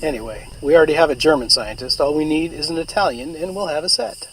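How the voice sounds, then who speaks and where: An actor playing a matter-of-fact, joking engineer at a rocket base. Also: male voice, fairly high pitch, quick pace, crowd noise, natural voice, rough recording. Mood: casual. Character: male engineer on an air base.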